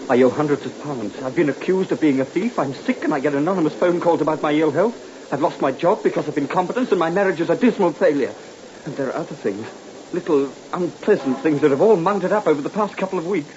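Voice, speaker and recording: Radio play role male actor: desperate, stammering man worn down by worries, high voice, noisy vintage recording. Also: expressive, fast talker, dull audio, close to an everyday voice. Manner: hesitant, stammering, pleading. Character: despairing man crushed by debts and troubles. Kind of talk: old-time radio drama acting.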